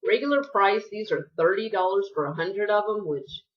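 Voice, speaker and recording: A woman's voice: medium pitch, normal speed, far from the mic, lo-fi sound. A woman chatting through a casual makeup haul vlog, rambling with apologetic asides and sniffly from allergies. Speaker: beauty vlogger.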